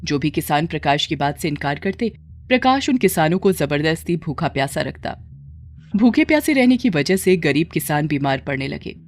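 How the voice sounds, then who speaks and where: A woman's voice: deep voice, big pitch swings, moderate pace, darkish tone. A woman narrating a scripted moral story as its storyteller.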